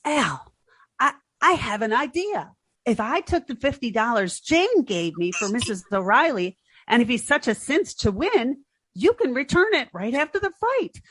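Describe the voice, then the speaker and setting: A naive, scatterbrained young woman, played by an actress in an old-time radio comedy. Her high voice swings up and down as she stammers and hesitates, flustered and nervous, in a dry, close recording. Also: sing-song, moderate pace, a bit bright, breathy edge, no room sound, full character voice. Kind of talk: 1940s radio comedy acting.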